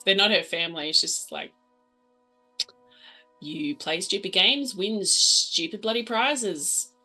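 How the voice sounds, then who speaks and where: A woman's voice: medium pitch, slow, bright, forceful tone, husky, faint music behind, poor audio. A woman chatting casually as a player in a tabletop roleplaying game.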